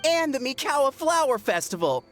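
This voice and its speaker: A woman's voice: sits high, sing-song, normal speed, soft background music. A woman voicing a small, stubborn, indignant tanuki yokai child in a video game, speaking bluntly.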